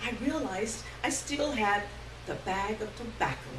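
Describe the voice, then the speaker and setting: Woman reading a story on stage, takes it slow, bit far from the mic, roomy sound. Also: medium pitch. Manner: measured, expressive storytelling. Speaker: story reader at a live literary reading. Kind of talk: dramatic first-person literary reading. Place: indoor room, live stage reading. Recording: distant roomy live recording, faint noise.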